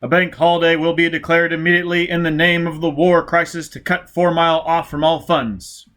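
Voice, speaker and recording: A man's voice: on the high side, little pitch movement, fairly quick, a bit bright, voice pushed up, natural voice. A man voicing an urgent official who issues security orders in an audiobook, in clipped, commanding bulletin phrases.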